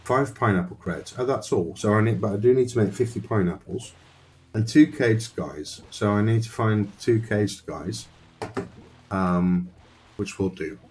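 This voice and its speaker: Low-voiced man streaming a game. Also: measured pace, a little hiss or hum. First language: Dutch. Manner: rambling, thinks aloud, talks to chat. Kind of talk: casual live-stream commentary while playing.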